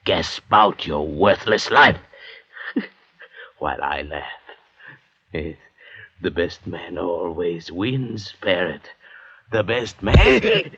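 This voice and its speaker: A muffled golden-age radio drama recording of a ruthless doctor adrift at sea. His voice is husky and slow, with taunting, mocking delivery that savors every cruel word. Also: low-pitched, sing-song, a bit bright, no room sound, heavily acted. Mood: gloating, mocking. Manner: taunting, mocking, laughing drawl.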